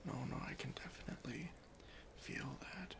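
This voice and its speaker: A man playing an officious but easily persuaded airport security officer in a whispered, deliberate ASMR roleplay, questioning with mock-stern politeness. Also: medium pitch, lightly acted. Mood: agreeable, musing. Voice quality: whispery, breathy.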